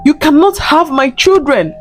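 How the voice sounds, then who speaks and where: A woman's voice: sits high, lively intonation, a little slow, faint music behind, voice pushed up. A woman voicing the fierce, protective spirit of a dead mother in a folktale, commanding and solemn.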